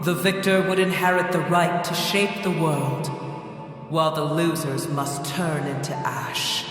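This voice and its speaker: A man voicing an ancient, proud dragon in a game, weary and scornful, speaking in a grave, measured way. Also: fairly high pitch. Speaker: game character. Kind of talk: game voice acting. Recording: echoey hall reverb.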